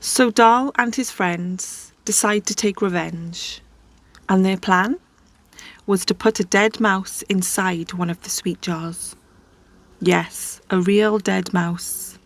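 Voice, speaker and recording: A woman's voice: medium pitch, slow. A woman hosting, telling a life story in a casual, chatty way with conversational asides. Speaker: host.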